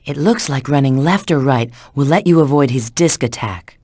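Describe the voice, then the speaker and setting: A woman's voice: extremely low pitch, moderate pace, dark tone, husky, dry recording, clean studio sound. A woman voicing a confident, flirty female bat spy and treasure hunter in a video game, with a cool, knowing, instructive manner. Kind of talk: video game character voice acting.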